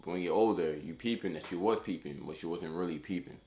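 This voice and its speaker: A man's voice: deep voice, quick pace, crowd noise. A young man from Queens, vlogging in a casual, rambling way that is full of "you know" and "like". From Queens, New York. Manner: laid-back, rambling, lots of "you know" and "like". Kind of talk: casual talk to camera.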